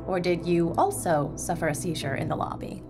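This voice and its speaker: An actress playing a defensive, sardonic hospital nurse in a horror audio drama, pointed and matter-of-fact. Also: female voice, fairly low pitch, a little fast, music in the background, lightly acted.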